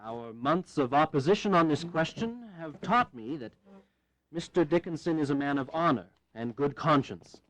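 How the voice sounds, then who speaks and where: An actor playing a resolute, fervent revolutionary statesman in an audio history drama, with firm, measured, declamatory delivery. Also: fairly high pitch, expressive, fairly bright tone, rough recording.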